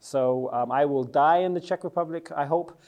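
A man's voice: on the high side, normal speed, a little mellow. Chatty English man teaching a session. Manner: chatty, joking, quizzes the audience. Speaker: youth worker and church leader.

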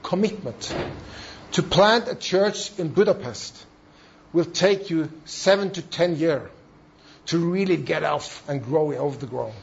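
A man with a Norwegian accent giving a seminar talk as a church planting trainer, in halting non-native English with emphatic repetition. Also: a little high, gravelly. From Norway. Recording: dull, lo-fi sound.

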